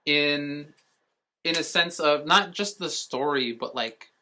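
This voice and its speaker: A man's voice: a little high, takes it slowly, bright, forceful tone, slight background noise. A man co-hosting a podcast, explaining things in a casual, rambling way with offhand swearing. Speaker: podcast co-host. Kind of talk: casual chat.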